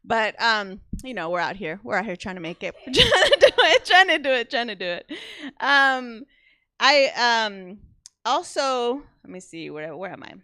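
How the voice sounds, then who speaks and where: A young woman with a Mexican accent performs stand-up with a bright, tense edge to her voice. She chatters in a self-mocking way and keeps breaking into laughter mid-sentence. The sound is dry and close, with no room around it. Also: on the high side, big pitch swings, moderate pace, no echo. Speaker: stand-up comedian. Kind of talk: stand-up comedy set.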